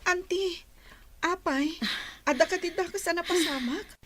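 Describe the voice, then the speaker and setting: A woman's voice: very high-pitched, a little slow, a bit bright, a little hiss or hum. A woman playing a sensitive, dutiful schoolgirl daughter in an Ilocano radio drama, speaking plaintively. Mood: earnest, anxious.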